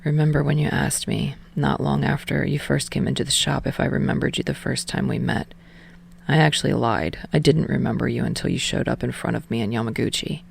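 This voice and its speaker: Audiobook romance role: teasing, quietly fond young man acted by a woman, low-pitched, murmured, dry delivery. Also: fairly even intonation, a little fast, gravelly, slight background noise, heavily acted. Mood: confessing.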